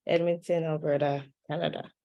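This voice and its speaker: A woman's voice: deep voice, level tone, fairly quick, dull audio. A woman from Nigeria speaking as a panelist, thoughtful and chatty, laughing now and then. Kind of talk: casual discussion.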